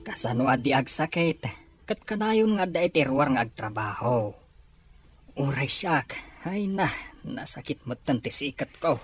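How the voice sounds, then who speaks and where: A man's voice: medium pitch, expressive, a little slow, husky, faint music behind. A man with an Ilocano accent playing a weary, stern farmer father in a radio drama. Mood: weary complaint.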